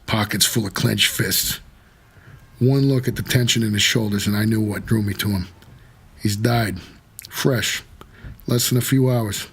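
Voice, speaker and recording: An American actor playing a weary, guarded supernatural driver who narrates an audio drama in terse, measured noir style. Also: male voice, on the low side, vocal fry, breathy edge, heavily acted.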